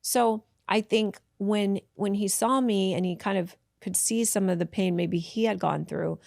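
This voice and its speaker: A woman's voice: mid-range voice, unhurried, vocal fry, dry recording, clean studio sound. A woman guest telling her life story in a candid, reflective way, often restarting sentences. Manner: frank, reflective, with restarts and soft asides. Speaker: podcast guest, tantra teacher.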